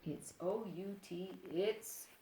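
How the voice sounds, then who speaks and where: An older woman reading a children's book aloud expressively and deliberately, playfully speaking straight to young listeners. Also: medium pitch, echoey room, noisy background. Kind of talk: expressive picture-book read-aloud with asides.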